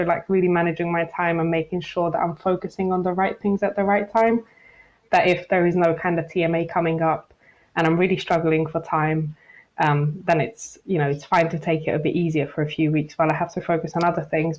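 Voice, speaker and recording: A woman's voice: lowish voice, a little mellow, low-quality recording. A woman student on a panel, talking through her time management in a thoughtful, practical way with frequent 'kinda'. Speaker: student panelist and student association vice president. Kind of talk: conversational panel talk.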